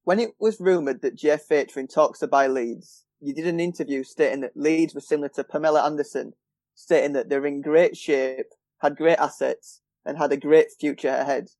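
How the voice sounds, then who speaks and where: A man's voice: on the high side, fairly even intonation, a little fast, a little mellow, no echo. A man hosting a fan podcast, steadily reading listener questions from a script. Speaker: podcast host.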